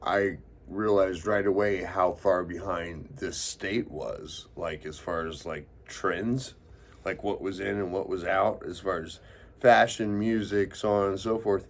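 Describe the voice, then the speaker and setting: A man with a low voice leads a relaxed, unscripted interview. He is from Texas and talks informally, with slang and the odd casual swear word. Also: measured pace. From Texas, United States. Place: inside a private house.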